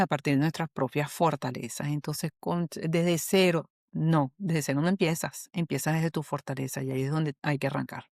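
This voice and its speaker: A Venezuelan woman, a human resources specialist, talking as a guest in an interview, animated and often checking in with "¿ok?". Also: low voice, no room sound, studio quality. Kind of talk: conversational interview.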